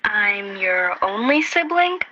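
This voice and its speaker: A woman's voice: mid-range voice, sing-song, takes it slowly, pushed and bright, no room sound, muffled recording, exaggerated voice. A woman acting in a radio play as an exasperated, sarcastic, reluctant sibling.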